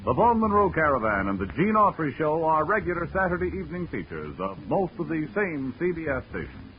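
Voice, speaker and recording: Man, announcer, declamatory, lively pitch, lo-fi vintage radio. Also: brisk, heavy background noise. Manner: formal, declamatory. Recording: dull 1940s radio broadcast.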